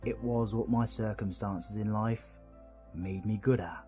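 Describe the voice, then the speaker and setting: A man's voice: fairly low pitch, fairly even intonation, measured pace, warm tone, soft background music, natural voice. A British man playing a cheeky, kind-hearted supervillain in an audio roleplay, chummy, slangy and reassuring, with a bit of mild swearing. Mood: gentle, reassuring. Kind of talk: scripted audio roleplay addressed to the listener.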